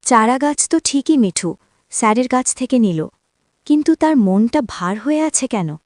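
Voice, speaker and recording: A woman's voice: fairly high pitch, lively intonation, normal speed, darkish tone, no echo, clean studio sound. A woman reading scripted narration for a children's cartoon story.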